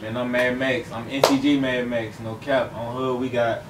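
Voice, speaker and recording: A man's voice: medium pitch, level tone, normal speed. A young man from Fort Worth, talking loosely and full of slang as a guest in a casual interview. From Fort Worth, Texas. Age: young adult. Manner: slangy, loose, rambling with fillers. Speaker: rapper guest. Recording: roomy, distant, faint hiss.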